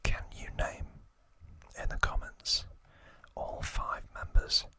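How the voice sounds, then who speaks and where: A man whispering in a soft, breathy, deliberate way as he hosts ASMR trivia, moving between reading and casual asides. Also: no room sound. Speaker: host of an ASMR channel.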